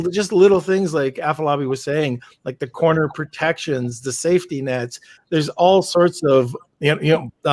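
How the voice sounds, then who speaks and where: Man, interview guest, hesitant, lots of fillers, slightly high voice, dry close sound. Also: dry recording. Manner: hesitant, many fillers, searches for words. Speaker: guest, logistics business founder. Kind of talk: casual interview anecdote.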